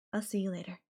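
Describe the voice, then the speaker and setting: A woman's voice: mid-range voice, mellow, slightly rough. A woman playing an obsessive, menacing yandere girlfriend in an intimate audio roleplay, speaking to her captive lover with condescending, coaxing sweetness. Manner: sweetly condescending, coaxing, threatening, with lingering pauses.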